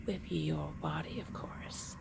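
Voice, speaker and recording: Woman doing a sultry evil demon lady, creaky breathy whispering, super slow, possessive and taunting, dark asmr roleplay. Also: low-pitched, full character voice. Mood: menacing, possessive. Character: seductive, menacing female demon claiming a human soul. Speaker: roleplay audio performer.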